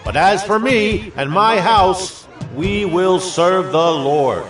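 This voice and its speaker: Solemn aged leader, music behind. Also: male voice, high-pitched, slow, fairly bright tone, distant mic. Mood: fervent exhortation. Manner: solemn, declamatory, emphatic. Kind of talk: dramatized scripture oratory.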